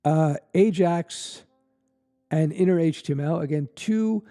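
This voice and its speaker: A man's voice: fairly high pitch, measured pace, warm tone. A middle-aged Canadian man hosting a casual livestream, answering chat questions in a rambling, conversational way. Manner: rambling, conversational, fillers and restarts. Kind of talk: casual live-stream chat.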